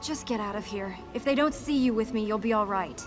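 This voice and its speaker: A woman's voice: medium pitch, a little fast, over music, lightly acted. An actress playing a guarded young woman on an urgent quest in a video game, terse and defensive. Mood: wary, brusque.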